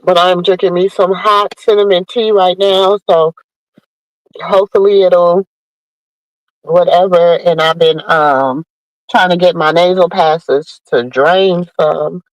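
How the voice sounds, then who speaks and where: A woman's voice: on the low side, steady tone, a little slow, dry recording, poor audio. Woman host with a drawl, nasal and stuffed up, chatty and familiar, dry close sound. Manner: drawling, calls listeners baby and y'all. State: nasal from a sinus infection. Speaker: podcast host. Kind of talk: casual chat to viewers.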